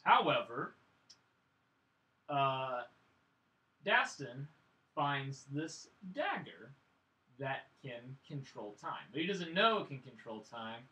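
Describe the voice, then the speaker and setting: A man co-hosting a casual film review, telling the story in an excitable, rambling way. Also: mid-range voice. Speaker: podcast co-host reviewing films. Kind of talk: casual chat, film recap. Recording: echoey room, distant microphone.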